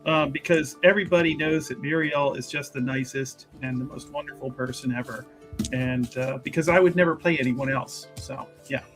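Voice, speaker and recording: A man chatting casually as a tabletop game player in a halting, tongue-in-cheek manner. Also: mid-range voice. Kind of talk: casual chat. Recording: music behind the voice. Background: music.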